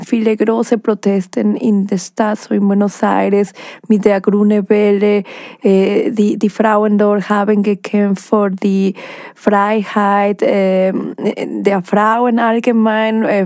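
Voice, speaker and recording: A woman's voice: medium pitch, a little mellow, no echo, clean studio sound. A woman from Mexico with a Mexican Spanish accent, speaking German as a second language as a guest on a radio interview, earnest and hesitant, with many fillers and restarts. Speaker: documentary filmmaker, studio guest. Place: radio studio.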